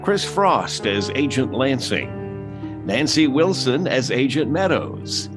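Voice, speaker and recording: A man reading scripted credits as the announcer. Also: mid-range voice. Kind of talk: scripted narration. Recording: music behind the voice.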